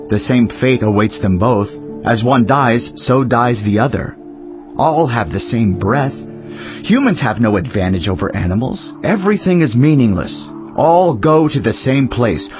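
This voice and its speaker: Deep-voiced man solemnly reading scripture over music. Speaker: scripture narrator. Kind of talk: dramatized audio Bible reading, scripted narration. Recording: slightly dull, band-limited audio with music. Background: clearly audible background music.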